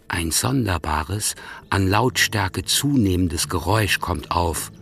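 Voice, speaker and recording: A man narrating a radio play in a calm, even storytelling manner. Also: low voice, creaky.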